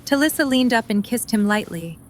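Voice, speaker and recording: A woman's voice: fairly high pitch, lively intonation, brisk, slight background noise. A woman narrating a scripted story as the narrator of an audio drama.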